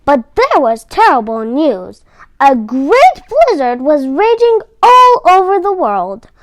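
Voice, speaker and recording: A woman storyteller reading a children's storybook aloud with expressive, emphatic phrasing. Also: high voice, slow pace, no room sound.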